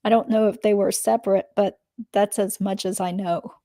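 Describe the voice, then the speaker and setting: A woman's voice: medium pitch, flat delivery, moderate pace, warm tone, no echo, clean studio sound, natural voice. A woman reading the part of a guarded witness testifying in a legal deposition. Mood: guarded, matter-of-fact.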